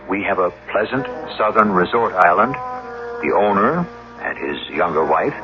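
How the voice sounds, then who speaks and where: A man narrating as a radio mystery host in a measured, portentous, theatrical style. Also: low voice. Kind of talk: dramatic scripted narration. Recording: dull vintage radio broadcast. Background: prominent music.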